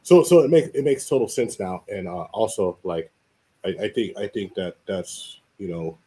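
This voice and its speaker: A man's voice: on the low side, animated, normal speed, warm tone, breathy edge. An older man interviewing a guest on a casual podcast, rambling and stammering through his questions with a joking manner.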